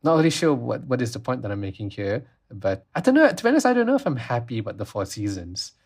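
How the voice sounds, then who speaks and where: A man's voice: mid-range voice, big pitch swings, fast talker, slightly rough. A man from Malaysia, co-hosting a casual podcast chat in a rambling, reflective way. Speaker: podcast co-host.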